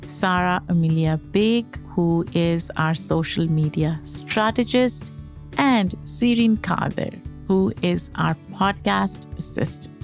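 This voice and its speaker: A woman's voice: fairly low pitch, gravelly, a little mellow. A woman hosting a podcast, reading a scripted introduction in an inviting way. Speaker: podcast host.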